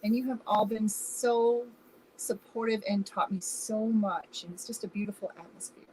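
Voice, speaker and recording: A woman talks casually as an interview guest, effusive and appreciative. Her pitch is average, and there is faint noise behind her. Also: steady tone, normal speed, darkish tone. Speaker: writer and first-time theater director, guest. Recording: faintly noisy room sound.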